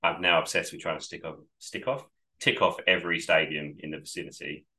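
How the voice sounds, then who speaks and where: A man's voice: very deep voice, steady tone, a little fast, pushed and bright. A man co-hosting a casual podcast chat, rambling and upbeat, getting over a cold. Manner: rambling, self-correcting, upbeat. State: recovering from a cold. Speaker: podcast co-host.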